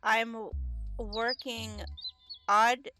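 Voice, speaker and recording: Slow-paced woman haltingly reading aloud. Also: a little high, flat delivery, music in the background. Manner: stumbles over words and sounds them out. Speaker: streamer playing a visual novel. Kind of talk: halting read-aloud of game text with casual chat.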